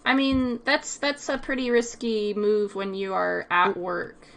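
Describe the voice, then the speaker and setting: A woman's voice: medium pitch, steady tone, unhurried, vocal fry, fairly bright tone. A woman chatting casually on an online voice call, blunt and teasing, stopping to correct the others. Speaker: stream co-host. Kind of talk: casual group chat on a voice call. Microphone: online voice chat mic.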